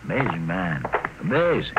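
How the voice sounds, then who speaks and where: On a noisy, muffled old radio recording, a fussy male agent who fawns over his boss. His low voice leaps up and down dramatically as he talks, officious and effusive. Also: big pitch swings, moderate pace. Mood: awed, gossipy. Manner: effusive, officious, repeats words for emphasis.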